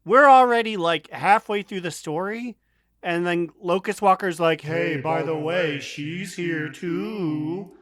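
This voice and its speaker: A man with a fairly high, sharp and bright voice talks on a casual gaming podcast. The sound is dry and close, and his delivery is wry and jokey. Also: takes it slowly, no room sound. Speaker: podcast co-host. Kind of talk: casual chat about a video game.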